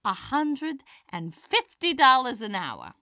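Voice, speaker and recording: A woman playing a feisty, warm-hearted elderly stand-up comedienne in an audio drama, with wry theatrical comic delivery. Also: high-pitched, wildly swooping pitch, slow, no room sound, studio quality, heavily acted. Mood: wry, playful.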